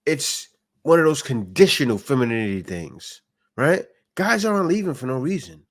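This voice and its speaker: A man's voice: mid-range voice, very animated, normal speed. A man hosting a reaction video, giving blunt, argumentative commentary full of rhetorical questions.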